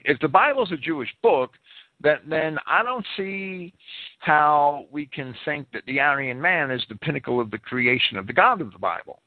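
A man with a fairly high, animated voice discusses and comments, often stammering over the first words of phrases. The sound is dry and narrow, like a lo-fi internet radio line. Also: dry recording. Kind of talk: opinionated discussion, part prepared commentary.

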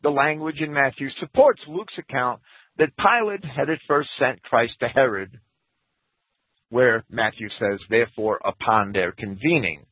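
A man's voice: measured pace, breathy edge, no room sound. A man giving a Bible commentary in a lecturing way, reading scripture quotes aloud. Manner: lecturing, reads quotes aloud, lively pitch. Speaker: Bible teacher. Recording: tinny phone-like radio broadcast.

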